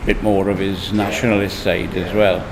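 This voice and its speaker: A man answering an interview question in a thoughtful, hesitant way, with frequent pauses. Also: deep voice, flat delivery. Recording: faint noise with long reverb.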